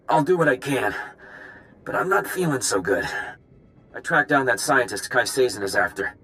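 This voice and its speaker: A wounded male spy from a video game, weakened and desperate. The voice sits at a medium pitch with a tense edge. Each phrase sounds pained and hard to get out. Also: lively intonation, moderate pace, pushed and bright. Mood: strained urgency. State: in pain, struggling to speak.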